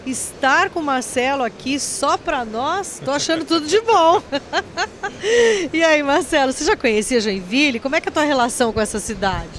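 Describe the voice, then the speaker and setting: A Brazilian woman hosts a chatty interview in a very high voice. She is playful and gushing, with some fair noise behind her. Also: expressive, normal speed, a bit bright. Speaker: show host. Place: indoor trade fair booth. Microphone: handheld interview microphone. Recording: faint fair background noise.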